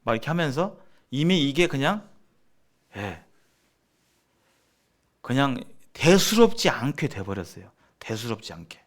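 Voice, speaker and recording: A man's voice: medium pitch, big pitch swings, measured pace, pauses often. A man giving a sermon-like seminar lecture as a preacher, speaking emphatically and repeating phrases for stress. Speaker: preacher leading a church seminar.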